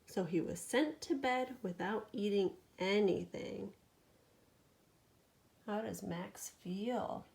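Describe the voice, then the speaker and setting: A woman's voice: mid-range voice, takes it slowly, creaky, pauses often, a little mellow. A woman reading a children's picture book aloud like a teacher, with dramatic storytelling and questions to her listener.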